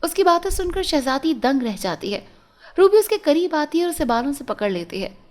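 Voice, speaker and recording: A woman's voice: high-pitched, sing-song, a little fast. A woman reading a scripted fairy tale as a storyteller.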